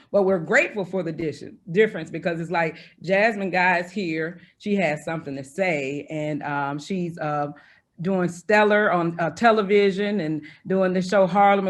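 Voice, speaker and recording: Affirming interview host. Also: mid-range voice. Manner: warm-hearted, affirming, with many "mm-hmm" and "uh" fillers. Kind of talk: conversational interview.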